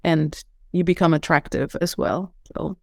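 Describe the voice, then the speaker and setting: A woman's voice: low-pitched, a little slow, gravelly, no echo, clean studio sound. A middle-aged woman from Venezuela with a Venezuelan accent, a holistic coach and former psychologist, speaking earnestly and effusively as a podcast guest. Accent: Venezuelan Spanish. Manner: earnest, effusive, repeats words at starts. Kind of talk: podcast interview.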